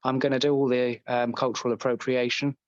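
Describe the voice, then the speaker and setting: A man's voice: mid-range voice, flat delivery, fairly quick, no echo, muffled recording. A man with a Scottish accent chatting casually as a podcast guest, jokey and self-deprecating. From Scotland. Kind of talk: casual chat.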